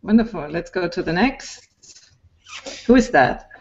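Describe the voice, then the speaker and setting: A woman's voice: on the low side, animated, measured pace, a little mellow. A middle-aged woman host steering guests and giving directions on an online call. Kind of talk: hosting an online party call. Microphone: video call. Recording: muffled video call audio.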